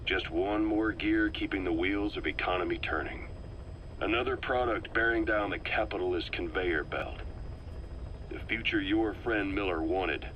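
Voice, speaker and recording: An actor playing a shrewd male intelligence operative, delivering a measured, earnest briefing in a video game tape. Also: deep voice, dull audio, slight background noise, lightly acted.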